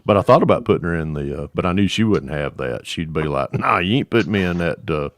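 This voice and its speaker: Casting note: man in his sixties, deep voice, drawling easygoing storyteller, dry close sound. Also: lively intonation, quick pace, dry recording. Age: 60s. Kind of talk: casual chat on a live stream.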